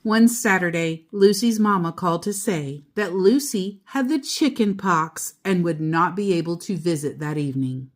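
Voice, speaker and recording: A woman storyteller reading a children's picture book aloud, deliberately and with expressive emphasis on key words. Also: fairly low pitch.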